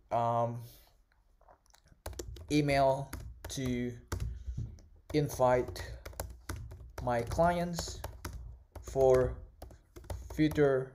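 A man whose first language is Indonesian, teaching a screen-recorded course in a relaxed way with many fillers and "right?" tags. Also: medium pitch, slight background noise. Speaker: course instructor. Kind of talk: casual screen-recorded tutorial. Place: at a computer, voice-over of screen recording.

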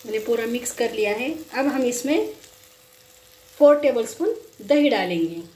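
High-voiced woman giving cooking instructions. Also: lively intonation, normal speed, warm tone, a little hiss or hum. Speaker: home cook, cooking show host. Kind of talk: casual recipe demonstration. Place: home kitchen, indoors. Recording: echoey room.

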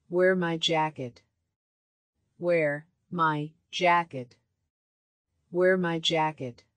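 A woman with a slightly low voice reads short practice phrases at a very slow, deliberate pace, with each word clearly enunciated. The sound is dry and close, with no room echo. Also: fairly bright tone. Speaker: language lesson voice. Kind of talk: repeated phrase drills for learners.

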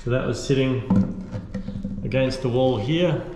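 A man in his thirties talks through his boat restoration in a loose, rambling way, full of fillers. His voice sits at an ordinary middle pitch. The sound rings with a long, hollow reverb, as if inside a metal hull, over faint background noise. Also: unhurried, over loud music. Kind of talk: casual show-and-tell narration. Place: on a steel boat under restoration, outdoors.

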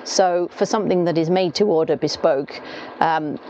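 A woman in her forties talks casually to camera from inside a small caravan. Her voice is low and warm, and she rambles, often stopping to correct herself. The sound is a little dull and lo-fi, with the faint patter of rain on the roof. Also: moderate pace, slight background noise. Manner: chatty, rambling, self-correcting. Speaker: caravanning vlogger. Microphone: camera across the table. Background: rain clattering on the roof.

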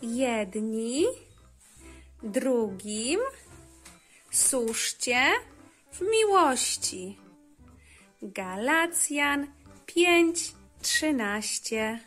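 A woman reciting a memory verse slowly, phrase by phrase. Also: on the high side, big pitch swings, a bit bright, faint music behind.

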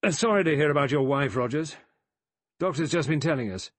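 A man's voice: on the high side, lively intonation, brisk, no room sound, voice pushed up. A man voicing an abrupt, testy old army general resigned to doom in an audiobook mystery. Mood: testy, sharp. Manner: abrupt, explosive, then resigned.

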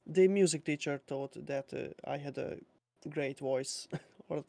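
A young man from Hungary, a Hungarian speaker, talking slowly with many fillers as a guest on a casual online interview. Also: fairly high pitch, vocal fry, mellow, no room sound. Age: young adult. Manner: slow and hesitant, many uh fillers, nervous laughs. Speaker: guest musician. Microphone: home mic with external sound card.

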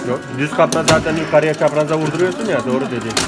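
A man commentates arcade fights in short, clipped calls, his voice sitting a little high. Loud game music blares behind him in the arcade hall. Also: very fast. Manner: calls matchups and moves in short remarks. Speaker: arcade channel host commentating matches. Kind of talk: casual match commentary.

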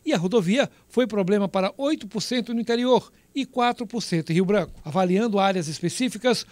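A male TV news reporter reading a survey report in a measured voice-over, with a Brazilian Portuguese accent. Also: high voice, darkish tone, no echo. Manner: measured, reads out figures crisply.

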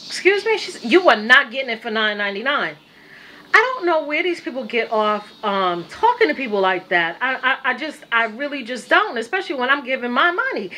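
A woman speaks off camera to a home video, her voice high and very bright. She tells her story casually and with animation, putting on the other people's lines, and turns squeaky when upset. The sound is plain camera audio from a home room. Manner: animated, acts out quoted dialogue. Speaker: vlogger.

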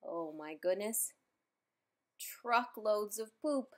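A woman reading a children's picture book aloud, adding playful, expressive asides for young listeners. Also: mid-range voice, takes it slowly. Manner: playful, expressive, simple child-directed delivery with exclamations. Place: indoors in a room at home.

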